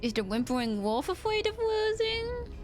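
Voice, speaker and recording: A woman's voice: very high voice, very animated, moderate pace, soft background music, pitched above the performer's own voice. A woman voicing a brash, taunting cat challenger in a video game. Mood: mocking. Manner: taunting, mocking.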